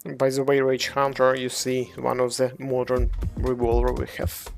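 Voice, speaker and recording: A speaker from Ukraine with a Ukrainian accent, a game developer casually walking through models on a livestream and answering chat in halting English full of hesitations. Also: medium pitch, completely flat delivery, vocal fry, darkish tone, soft background music. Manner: halting non-native English, frequent hesitations.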